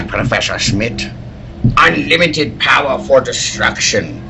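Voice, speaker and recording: Man acting an intense, bright-voiced radio-serial scientist. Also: medium pitch, gravelly, slight background noise, exaggerated voice, poor audio. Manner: theatrical, emphatic. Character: earnest, anxious male scientist-inventor, proud and principled. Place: live table read at a convention.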